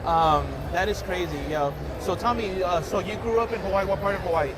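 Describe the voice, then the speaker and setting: A man's voice: sits high, fairly quick, over a noisy crowd, rough recording. A man hosting a casual interview in a casino, rambling with many fillers and restarts. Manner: rambling, lots of fillers and repeats. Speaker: interview host. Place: casino, busy public area. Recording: loud background noise.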